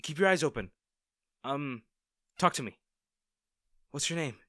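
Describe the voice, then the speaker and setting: A man playing a kind, worried young male rescuer in a roleplay audio drama, speaking in a gentle, hesitant, reassuring manner. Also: a little high, expressive, unhurried, no room sound, studio quality. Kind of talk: acted first-person roleplay audio drama.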